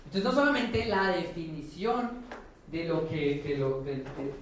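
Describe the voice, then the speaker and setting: A man's voice: a little high, big pitch swings, unhurried. A Colombian man giving an informal lecture as a professor, speaking in a colloquial, slangy, irreverent way and often checking in with short tag questions. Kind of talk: informal conference talk. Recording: echoey room, distant mic, faint noise.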